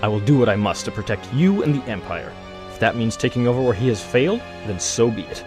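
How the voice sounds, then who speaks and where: Scheming royal guard stallion played by a man, animated and theatrical, feigned loyalty, insistent, music underneath. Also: medium pitch, quick pace, lightly acted. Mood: earnest, wheedling. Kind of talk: dramatic audio drama acting.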